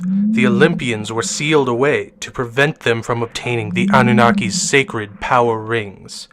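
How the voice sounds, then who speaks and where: Ancient cosmic mentor for audio drama, male actor: mid-pitched, formal, grave, commanding, short on patience. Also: a bit bright, slight background noise. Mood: solemn. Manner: formal, solemn, portentous.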